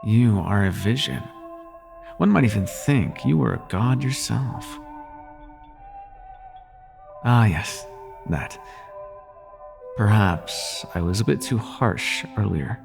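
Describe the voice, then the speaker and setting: A man's voice: fairly low pitch, expressive, takes it slowly, gravelly, long pauses, over music, full character voice. A man playing a suave, teasing demon prince of nightmares in an intimate audio roleplay, with courtly, lingering delivery. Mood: teasing flattery.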